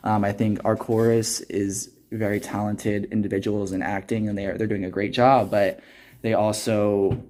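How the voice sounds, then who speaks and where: Low-voiced teenage boy, reflective interview guest. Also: flat delivery, moderate pace, a little mellow. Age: teens, high school senior. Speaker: student actor interviewed as a guest. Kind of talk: earnest conversational interview answers.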